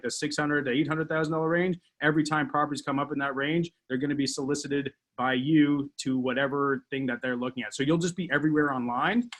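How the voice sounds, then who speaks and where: A man's voice: medium pitch, a bit bright. A man hosting a call, rambling casually with many fillers.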